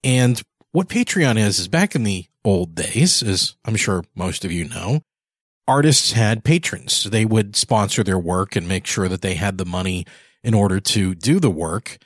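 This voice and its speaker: A man's voice: lowish voice, lively intonation, measured pace, dry recording, clean studio sound. A man hosting a podcast in a conversational, reflective way, often rephrasing as he goes. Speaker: podcast host interviewer. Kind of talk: conversational interview and host talk.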